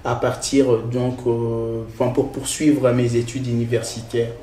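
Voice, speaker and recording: A man from the Congo, a researcher and lecturer, answering interview questions in a measured way with frequent hesitations. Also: mid-range voice, little pitch movement, mellow. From Democratic Republic of the Congo. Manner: hesitant, many filler sounds, measured. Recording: roomy echo.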